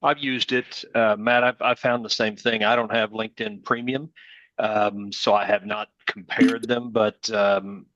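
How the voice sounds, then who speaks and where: A man's voice: on the low side, little pitch movement, measured pace, a bit bright, no echo. A man taking part in an online group discussion, casually and agreeably sharing his own experience. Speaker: group participant. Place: online video call. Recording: dry, slightly dull call audio.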